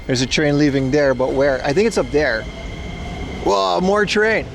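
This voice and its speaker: Teasing man streaming outdoors, slightly high voice. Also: expressive, measured pace, gravelly. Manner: teasing, mock-insulting banter. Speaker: livestreamer. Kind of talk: casual livestream chat, answering viewers. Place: outdoors by a railway platform in a city. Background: faint trains and city traffic.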